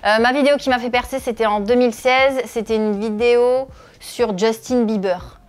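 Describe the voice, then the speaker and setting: A woman's voice: on the high side, fairly even intonation, normal speed, faint music behind. A woman in her thirties from northeastern France, a comedian answering interview questions, hesitant with many filler sounds. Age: 30s. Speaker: comedian and video creator.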